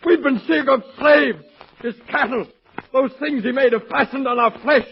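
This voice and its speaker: An actor playing a bitter, vengeful captive turned mob leader, intense and melodramatic in 1940s radio drama style on a muffled recording. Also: male voice, extremely high pitch, normal speed, husky, heavily acted.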